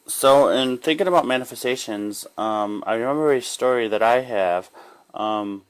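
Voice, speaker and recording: A man giving a casual, unscripted spiritual self-help monologue in a rambling, halting way, often repeating phrases and trailing off. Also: medium pitch, clear, resonant tone. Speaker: spiritual life coach sharing guidance.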